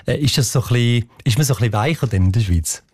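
A man's voice: medium pitch, darkish tone, slightly rough, no echo. Swiss german guy radio host, chatty interview, stumbles around for words, close dry mic. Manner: halting, searching for words. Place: radio studio.

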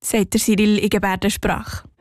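A woman's voice: mid-range voice, little pitch movement, moderate pace, dry recording, clean studio sound. A woman with a Swiss German accent narrating a scripted radio report.

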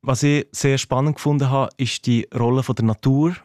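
A man's voice: mid-range voice, fairly even intonation, moderate pace, a little mellow, no echo. Man, a touch, hesitant and thoughtful, dry studio sound. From Ticino, Switzerland. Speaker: teacher and interview guest. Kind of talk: radio interview, relaxed explaining.

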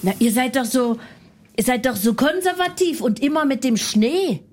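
A brash woman with a fairly high voice rants and mocks in blunt comedic banter. She talks in a loud, pushy way and laughs often between her jabs. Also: expressive, normal speed.

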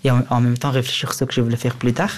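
A young man, speaking a language he learned later, answers as an interviewee. He recounts his own experience in a medium-pitched voice. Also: flat delivery, racing, a little hiss or hum. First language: a language other than French. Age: young adult. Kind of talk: personal testimony in an interview.

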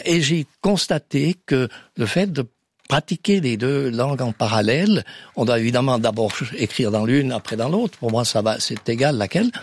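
A man speaking as an author guest in an interview, thoughtful and hesitant, pausing with fillers. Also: mid-range voice, breathy edge, dry recording. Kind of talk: conversational interview.

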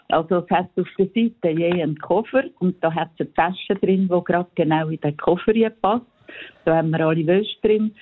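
A woman's voice: fairly low pitch, husky. A woman in her seventies from the Zurich area, speaking Zurich Swiss German in friendly, short answers as a quiz caller. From Switzerland, Canton Zurich. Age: 70s. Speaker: quiz contestant on phone. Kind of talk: casual phone chat. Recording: tinny phone line.

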